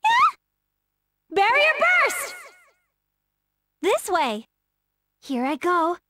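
Young female game fighter, very high bright voice, earnest, dutiful, clipped battle lines, wildly swinging pitch. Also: wildly swooping pitch, drawn-out words, no room sound, clean studio sound, full character voice. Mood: alarmed, straining, then calm, resolute. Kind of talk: fighting-game battle voice clips, short shouted calls.

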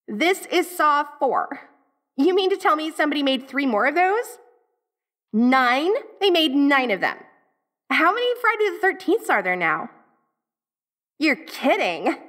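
A woman's voice: sits high, sing-song, a little slow, long pauses, fairly bright tone. A woman playing a dorky tsundere ghost girl from the eighties in an audio roleplay, with an American valley-girl accent and a gushing, hesitant, slangy manner. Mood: incredulous. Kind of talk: audio roleplay acting, speaking directly to the listener.

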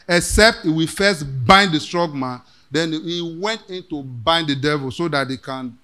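Middle aged preacher, kinda high voice, punchy, quotes scripture into a podium mic. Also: male voice, sharp tone. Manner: emphatic, quotes scripture in King James wording, asks rhetorical questions. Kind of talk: sermon. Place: church hall.

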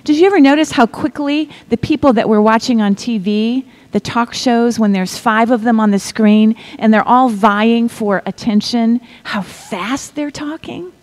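A middle-aged woman preaching a sermon, reading scripture in a measured way and dropping to a whisper for effect. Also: fairly high pitch. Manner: slow, deliberate delivery with pauses and soft whispered stretches; asks the congregation to repeat lines.

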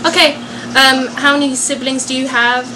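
A young woman talks in a fairly high voice, casually answering questions for her viewers. She is chatty and pokes fun at herself. There is clear noise behind her voice. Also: level tone, moderate pace. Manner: chatty, self-joking. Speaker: vlogger and singer.

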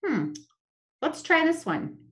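A young woman teaching children in an online lesson, talking slowly and in a sing-song way over a laptop webcam. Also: high-pitched, big pitch swings, fairly bright tone. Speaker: special education teacher. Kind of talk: online lesson with screen share. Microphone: laptop webcam, video call.

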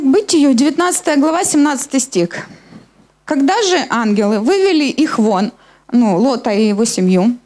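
A woman with a high-pitched voice delivers a sermon from a church stage. She is middle-aged and explains scripture step by step, speaking directly to her listeners through a handheld microphone. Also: a little mellow. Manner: explanatory, addressing listeners with questions. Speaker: preacher. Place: church hall stage at a lectern, indoors.

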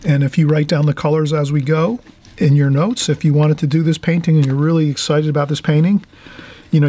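Higher-voiced man teaching watercolor. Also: dark tone, slight background noise. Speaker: painting instructor, channel host. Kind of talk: informal watercolor tutorial. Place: indoors at a painting table.

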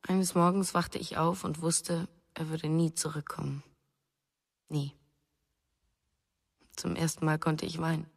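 A woman's voice: low voice, flat delivery, unhurried, vocal fry, long pauses, husky, in a large hall, exaggerated voice. An actress playing a grieving young mother in a German radio drama, restrained and pensive, in short phrases.

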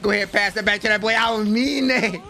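A young American man giving excited, slangy, exclamatory live reaction commentary at a close desk mic in a room at home. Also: high voice, normal speed, raised voice. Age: young adult. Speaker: reaction video host. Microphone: close desk microphone.

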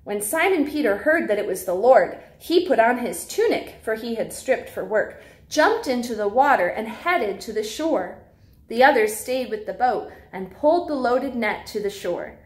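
A woman's voice: on the high side, moderate pace. A young American woman speaking simply and expressively to small children, like a preschool teacher doing a read-aloud. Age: young adult. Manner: animated, simple and clearly phrased for children. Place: small classroom, indoors.

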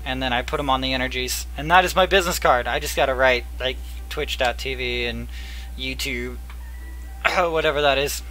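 A young man chatting casually while opening card packs, murmuring card names and getting excited now and then, his voice going hoarse. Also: medium pitch, moderate pace, faint music behind, low-quality recording. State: losing his voice. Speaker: card pack opener. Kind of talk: casual pack-opening commentary. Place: small room at a table. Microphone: headset.

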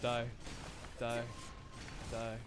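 A man's voice: medium pitch, monotone, extremely slow. A young adult man giving casual live commentary as he plays a video game, muttering to himself and exclaiming in frustration. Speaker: streamer playing a video game.

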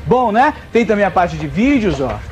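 A man, presenting an informal, playful TV shopping pitch from inside a shop. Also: normal speed, lo-fi sound, soft background music. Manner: animated, playful, joking. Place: video game shop, indoors.

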